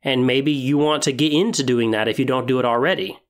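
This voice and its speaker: A man hosting a podcast monologue, explaining and giving tips in a measured way with emphatic phrasing. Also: mid-range voice. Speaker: podcast host.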